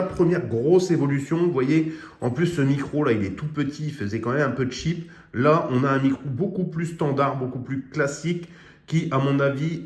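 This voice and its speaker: A man's voice: on the high side, darkish tone, reverberant. A man giving a casual product review of a radio, with lots of fillers and restarts. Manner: hesitant, many fillers and restarts.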